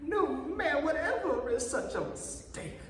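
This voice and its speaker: A woman's voice: high-pitched, animated, a little slow. A woman voicing an erratic, melodramatic, snide schoolboy in a puppet comedy. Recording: echoey hall, distant mic, faint noise.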